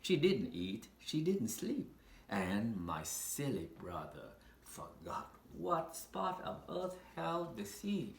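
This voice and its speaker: A man reading a children's picture book aloud in an expressive, deliberate storytelling manner with dramatic pauses. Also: medium pitch, gravelly. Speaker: storybook reader. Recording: roomy echo with faint background noise.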